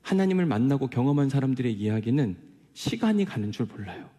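A man's voice: medium pitch, sing-song, quick pace, dark tone. A male pastor giving a sermon in an earnest, conversational way, telling personal stories and asking rhetorical questions. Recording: long hall reverb.

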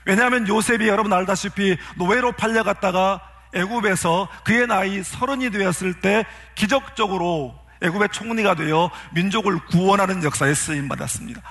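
A man's voice: high voice, fairly quick, few pauses, fairly bright tone. A man who is a pastor, preaching a sermon in an earnest way and putting rhetorical questions to his congregation.

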